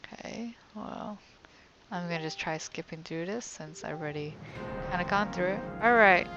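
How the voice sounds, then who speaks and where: A woman's voice: fairly low pitch, moderate pace, muffled recording, over loud music. A young woman giving casual let's play commentary as a game streamer, often murmuring to herself.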